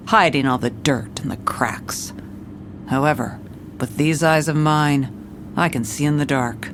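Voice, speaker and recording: A woman's voice: sits low, a little hiss or hum. A woman playing a tough, seasoned police commissioner in a noir audio drama, terse, world-weary and commanding. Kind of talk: noir scripted narration and drama.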